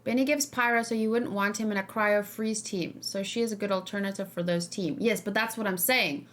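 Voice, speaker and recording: A woman's voice: medium pitch, steady tone, brisk, a bit bright. Female livestream host, mid-range voice, conversational and emphatic, puts questions to viewers. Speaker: streamer hosting a reaction and discussion. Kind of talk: casual livestream chat, answering viewers' questions.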